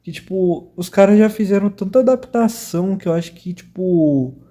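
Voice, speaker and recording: A man's voice: sits high, normal speed, mellow. A man with a Brazilian accent giving casual, slangy reaction commentary in a muted voice.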